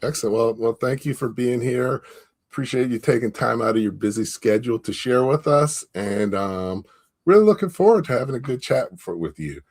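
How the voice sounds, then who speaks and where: A man's voice: medium pitch, animated, a little fast, darkish tone, husky. A man hosting a business interview over a video call, encouraging and loose, with many fillers. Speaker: interview host. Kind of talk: casual interview.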